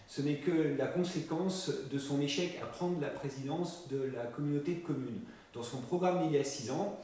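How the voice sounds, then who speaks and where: A man's voice: fairly high pitch, darkish tone. A man delivering a prepared political campaign statement as a local candidate, formal and assertive. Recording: echoey room, distant mic, faint noise.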